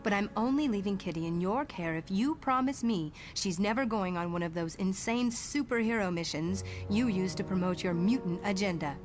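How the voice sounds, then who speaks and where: A woman's voice: mid-range voice, moderate pace, few pauses, faint music behind, lightly acted. Woman acting a firm, protective mother. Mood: worried, firm. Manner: earnest, firm, sometimes sarcastic. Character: protective, no-nonsense mother of a teenage girl. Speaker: voice actor. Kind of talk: motion comic dialogue.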